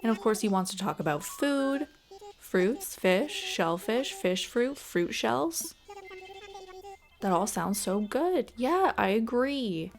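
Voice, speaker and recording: A woman's voice: fairly high pitch, big pitch swings, slow. Chatty woman over background music. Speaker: gaming video creator. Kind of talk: casual gameplay voice-over commentary.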